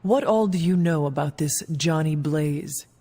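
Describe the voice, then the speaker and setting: An actress playing a poised, curious warrior woman in a video game, speaking in a formal, measured way. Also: sits low, mellow. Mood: formal, inquisitive. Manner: formal, measured, few contractions. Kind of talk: video game cutscene dialogue.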